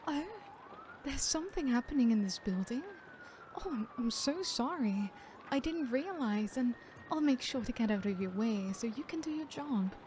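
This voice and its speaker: A woman's voice: fairly high pitch, lively intonation, normal speed, darkish tone, slight background noise, pitched above the performer's own voice, natural voice. A woman playing an innocent, polite woman in an ASMR roleplay, sweetly apologetic, hesitant and flustered.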